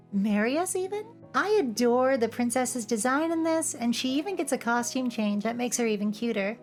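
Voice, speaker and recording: Female video essayist, high voice, cheeky scripted commentary with music underneath. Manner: playful, cheeky asides. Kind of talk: scripted, humorous commentary over cartoon clips. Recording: music behind the voice. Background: background music.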